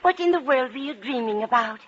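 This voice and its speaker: A woman's voice: high-pitched, lively intonation, brisk. An actress playing a fussy, motherly landlady in a 1940s radio comedy, exclaiming and coaxing. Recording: noisy, dull vintage radio recording.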